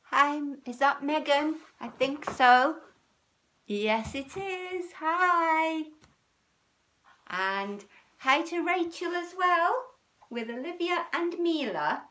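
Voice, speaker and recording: A British woman in her fifties talks to young children as a storytime host. Her pitch swoops up and down in a sing-song, animated way. She speaks chattily and often turns statements into little questions for her listeners. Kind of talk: chatty, child-directed livestream talk. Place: small room at home, indoors.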